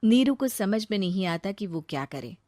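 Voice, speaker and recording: A woman narrating a moral cartoon story in a plain, brisk manner. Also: mid-range voice, animated, warm tone, no echo, studio quality.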